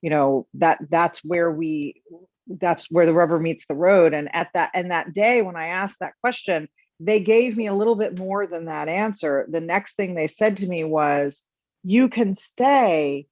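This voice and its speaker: A woman's voice: lowish voice, measured pace, darkish tone, dry recording. A middle-aged woman hosting a podcast, telling personal stories earnestly and reflectively, often restarting her sentences. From United States. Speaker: podcast host. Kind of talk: casual interview chat. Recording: dry, dull lo-fi sound.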